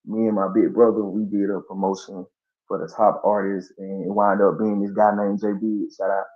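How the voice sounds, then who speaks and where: A man, speaking low and easy as he tells stories in a casual podcast interview. His talk is loose, full of slang and fillers. The audio is dull and lo-fi. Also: level tone, moderate pace. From Columbus, Georgia. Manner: laid-back storytelling with slang and fillers. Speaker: guest artist.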